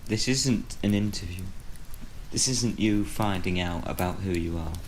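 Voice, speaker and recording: A man playing a weary, bitter retired horror storyteller in an audio drama, grave and deliberate in his delivery. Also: low-pitched. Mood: cold, resolute. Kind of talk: audio drama monologue and dialogue. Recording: faint background noise.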